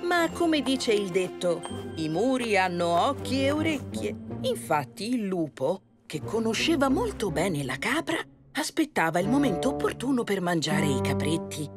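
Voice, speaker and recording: Animated woman narrating a fairy tale. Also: medium pitch, normal speed, music in the background. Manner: expressive storytelling. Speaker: storyteller, narrator.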